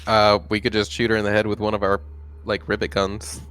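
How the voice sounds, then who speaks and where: A man's voice: on the low side, monotone, brisk, a bit bright, clean tone, poor audio. A man chatting casually and jokingly as a player in a tabletop roleplaying game.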